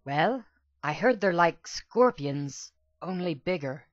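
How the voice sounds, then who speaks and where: A man voicing an earnest, soft-spoken young female pegasus pony with a hesitant manner for a storybook. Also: fairly high pitch, lively intonation, slow pace, no room sound, highs cut off, voice pushed up.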